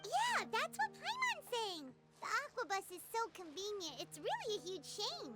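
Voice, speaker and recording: A woman voicing a tiny, chatty, girlish floating companion in a game, with a squeaky childlike voice. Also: huge pitch swings, normal speed, exaggerated voice. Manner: speaks of herself in the third person. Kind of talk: game dialogue. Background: game background music.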